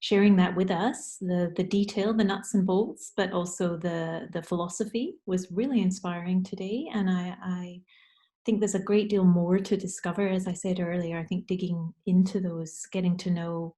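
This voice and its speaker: Woman with a low, mellow voice. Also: steady tone, normal speed. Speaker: panel moderator summing up. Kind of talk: spoken closing remarks at an online panel.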